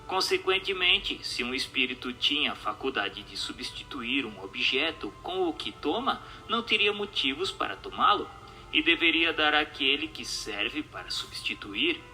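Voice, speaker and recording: A man with a Brazilian accent giving a formal, measured reading of a scripted radio drama. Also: medium pitch, very animated, sharp tone, soft background music, low-quality recording. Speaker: reader of a spiritist radio drama.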